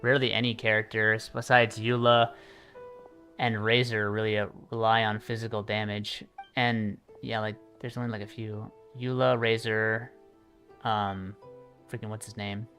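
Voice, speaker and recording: A man's voice: fairly low pitch, level tone, normal speed, sharp tone, faint music behind. A man livestreaming a video game with casual, rambling commentary, repeating himself in a mildly exasperated way. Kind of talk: casual live gaming commentary.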